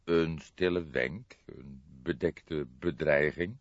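A man reading an audiobook as a shrewd older police detective, speaking softly in a calm, measured, deliberate way. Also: a bass voice, no room sound, highs cut off, heavily acted. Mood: grave. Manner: measured, deliberate, soft-spoken.

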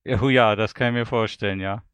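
A male interviewer speaks informally in a smooth, clear voice that sits a little low. The sound is dry, with questions and remarks put in a relaxed chatty style. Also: quick pace, dry recording. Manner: informal, conversational.

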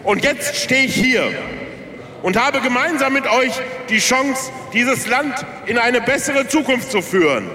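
An actor playing a brash populist candidate, giving a blunt, folksy campaign speech in a satirical radio play. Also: male voice, very high voice, expressive, unhurried, sharp tone, full character voice. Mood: folksy, rallying. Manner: blunt, folksy, rabble-rousing. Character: brash male populist candidate, former sausage manufacturer. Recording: echoing hall with crowd.

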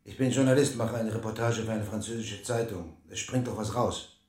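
An actor playing a probing, insistent newspaper journalist with a husky voice in a radio play. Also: male voice, lowish voice, fairly quick, a little mellow. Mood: persuasive, low-key.

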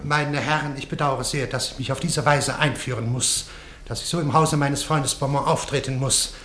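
An actor playing an indignant, pompous, old-fashioned aristocrat in a German radio play, blustering yet formal. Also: male voice, medium pitch, steady tone, moderate pace, a bit bright, echoey room, slight background noise, close to an everyday voice. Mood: outraged.

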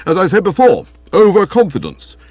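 Comic storybook role, played by a man: stern policeman, accusing and peevish, raised animated pitch, slightly muffled audio. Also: normal speed, no echo, full character voice. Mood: accusing, hectoring. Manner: hectoring, morose, clipped pronouncements. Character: stern bullying young constable, pompous, peevish and accusing.

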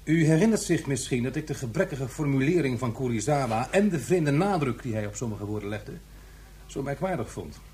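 A man's voice: mid-range voice, lively intonation, fairly quick, darkish tone, close to an everyday voice. An actor playing a stubborn, earnest male professor in a science fiction radio drama, arguing precisely and insistently, with some pedantry.

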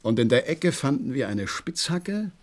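An agitated horse trainer played by a male actor gives his account in a radio drama. His mid-pitched voice swings widely as he reports eagerly and dramatically, always deferential to his betters. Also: very animated, normal speed, lightly acted. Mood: earnest. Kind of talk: German radio play acting.

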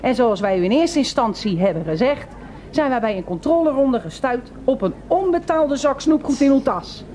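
An actress playing an officious, unbending store detective in a radio play, speaking pompous, long-winded officialese. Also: female voice, high-pitched, lively intonation, normal speed, dark tone. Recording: radio drama with faint background noise.

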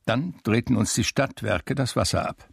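An actor playing an ageing, melancholy recluse who recalls his life in measured literary radio drama narration with dry irony. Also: mid-range voice, vocal fry, husky, no room sound. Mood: wry, reflective.

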